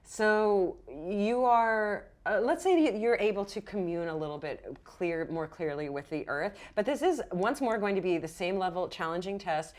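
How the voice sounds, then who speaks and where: A woman's voice: medium pitch, expressive, moderate pace, hardly any pauses. A woman narrating as game master of a roleplaying game, casual and encouraging in an improvised, conversational way.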